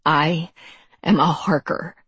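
A woman's voice: fairly low pitch, unhurried, husky, no room sound. A woman voicing a guarded, defiant teenage girl with terse, firm, challenging delivery.

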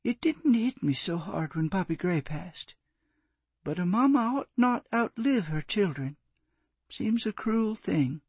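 A proud, polite old woman speaks in a genteel, plaintive way, as a male narrator puts her on. Her voice sits high and gravelly with a husky edge. The sound is close and dry. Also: big pitch swings, unhurried, no room sound, dull audio, heavily acted. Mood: wistful. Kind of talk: audiobook character voice.

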